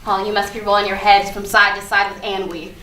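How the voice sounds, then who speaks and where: A woman's voice: mid-range voice, level tone, brisk, bright, forceful tone, close to an everyday voice. A woman acting an exasperated young female best friend, sarcastic and emphatic, in a staged reading. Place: live staged reading in a room. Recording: echoey room, distant mic, faint noise.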